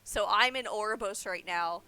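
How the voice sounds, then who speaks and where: A woman's voice: mid-range voice, normal speed, sharp tone, smooth. A young woman giving casual livestream game commentary, explaining things informally as she plays. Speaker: game streamer.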